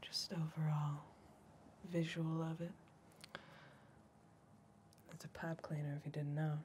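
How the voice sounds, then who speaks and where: A young woman playing an irritated, weary backwoods criminal in a whispered, soft-spoken ASMR roleplay, muttering at a clumsy partner. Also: low voice, level tone, a little slow, a little hiss or hum. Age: young adult. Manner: exasperated, muttering.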